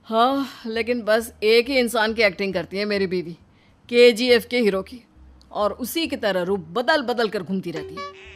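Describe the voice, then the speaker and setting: A man's voice: high voice, normal speed, close to an everyday voice. A man voicing a resigned, exasperated young husband in a cartoon story, pleading and complaining. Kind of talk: Hindi cartoon story dubbing. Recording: background music behind the voice.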